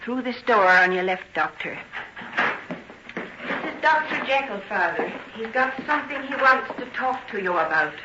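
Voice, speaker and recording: An actress in an old-time radio drama playing a farmer's anxious, protective daughter, firm and plain-spoken. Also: female voice, fairly high pitch, expressive, normal speed, natural voice. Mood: dutiful. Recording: dull old radio recording, faint noise.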